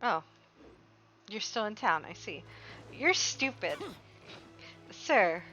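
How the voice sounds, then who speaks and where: A woman's voice: medium pitch, very animated, slow pace, bright, forceful tone. A woman streaming a video game with casual, reactive commentary, often muttering to herself. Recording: dull audio, faint game music.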